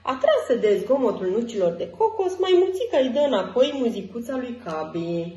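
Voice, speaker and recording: A woman reading a children's story aloud in an expressive storytelling style. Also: fairly high pitch. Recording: roomy echo, faint music.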